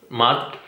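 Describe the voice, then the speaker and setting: A young man, talking casually and at length in a personal vlog, rambling and self-deprecating with tangents. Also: sharp tone. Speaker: vlogger. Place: indoors at home. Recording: echoey room.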